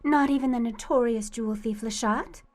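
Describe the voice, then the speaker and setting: A woman's voice: a little high, moderate pace, close to an everyday voice. A woman playing a sly, flirtatious lady cat burglar in a radio suspense drama, with a coy, teasing manner. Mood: teasing, curious.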